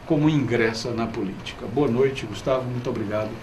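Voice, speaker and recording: A man's voice: medium pitch, a little fast, a little mellow. A man with a Brazilian accent hosting an interview program, speaking spontaneously and courteously with frequent affirmations. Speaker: TV interview show host. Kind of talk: interview, spontaneous studio talk. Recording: clear background noise.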